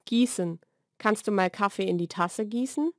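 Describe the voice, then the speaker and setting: A woman's voice: mid-range voice, no echo. Woman narrating vocabulary, very dry recording. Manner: measured, deliberate enunciation. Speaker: language lesson narrator. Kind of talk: scripted vocabulary narration, single words then example sentences. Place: dry studio voice-over recording.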